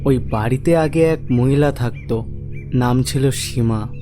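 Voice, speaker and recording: A man playing a deferential villager in an animated horror story, confiding a dark secret in an earnest, respectful way. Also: medium pitch, measured pace, lightly acted. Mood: grave, confiding. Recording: loud music over the voice.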